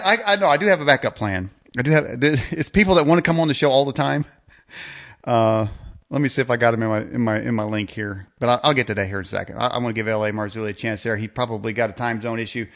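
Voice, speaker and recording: A man's voice: medium pitch, quick pace, fairly bright tone. A man hosting a rant show, speaking off the cuff in a stammering, sarcastic, colloquial way and often repeating his phrases. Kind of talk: improvised rant monologue with mocking role-play.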